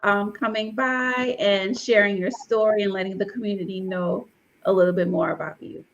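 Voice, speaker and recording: A woman's voice: medium pitch, steady tone, normal speed, muffled recording, slight background noise. A woman hosting an online awards meet and greet over a video call, upbeat and reading viewer comments aloud. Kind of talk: virtual event hosting. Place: Atlanta.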